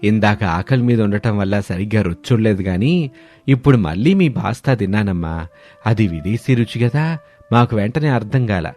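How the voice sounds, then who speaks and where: A man voicing a kindly older father-in-law, affectionate and playfully blunt, with slow, emphatic delivery, in a story. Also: lowish voice, expressive, darkish tone. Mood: placating. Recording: studio voice over background music.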